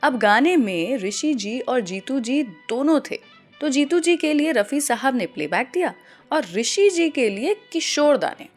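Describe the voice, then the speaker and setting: A woman hosting a radio show, telling scripted anecdotes between songs in an animated storytelling way. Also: a little high. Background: music behind the voice.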